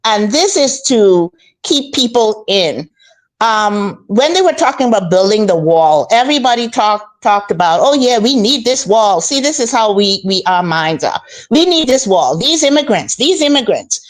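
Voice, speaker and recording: A woman from the Bahamas with a mid-pitched voice, chatting as a guest on a call-in show. She talks steadily and calmly, preachy at times and often repeating herself. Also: moderate pace. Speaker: guest commentator. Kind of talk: casual faith-based chat.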